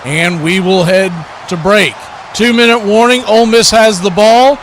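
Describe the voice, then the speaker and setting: Male play-by-play streamer, high-pitched, recorded with noticeable vehicle-like noise behind. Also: measured pace, fairly bright tone. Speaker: live stream play-by-play host. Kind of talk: play-by-play sports commentary and chat.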